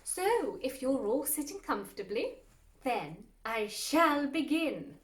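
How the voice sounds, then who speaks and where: High-voiced woman storyteller in a roomy space. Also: very animated, slow pace. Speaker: storyteller and host of a fairy tale series. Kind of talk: scripted storybook narration. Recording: roomy, distant mic with music underneath. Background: classical music.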